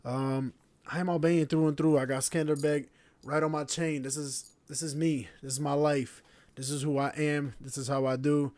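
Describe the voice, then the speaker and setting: A man, hosting a podcast in a relaxed, proud monologue to camera. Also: fairly high pitch, moderate pace, no echo. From born in Slovenia, raised in Connecticut. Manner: relaxed, proud, repetitive phrasing. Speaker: podcast host. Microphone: camera mic.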